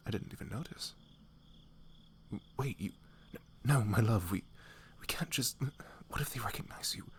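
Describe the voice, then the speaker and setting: A man's voice: on the low side, lightly acted. A man playing a devoted, protective young knight in love, tender and hesitant, in a romantic fantasy ASMR roleplay.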